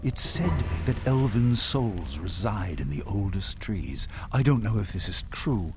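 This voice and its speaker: An actor playing a very old, weary dwarf king in a video game, speaking gravely and with measured, regretful weight. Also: lowish voice, lively intonation. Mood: thoughtful. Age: older. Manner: grave, measured, brooding, dramatic pauses. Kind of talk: dramatic video game dialogue. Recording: music under the voice.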